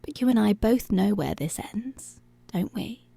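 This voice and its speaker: A woman's voice: mid-range voice, expressive, warm tone, no echo, full character voice. A woman playing a dominant, teasing policewoman in an intimate, half-whispered ASMR roleplay. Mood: domineering. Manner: slow, breathy half-whispered, possessive teasing.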